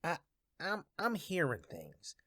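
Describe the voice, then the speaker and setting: A woman voicing a frightened, guilty male killer in a radio drama, stammering in rough colloquial speech. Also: lowish voice, wildly swooping pitch, slow pace, a bit bright, dry recording, clean studio sound, exaggerated voice. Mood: panicky, defensive.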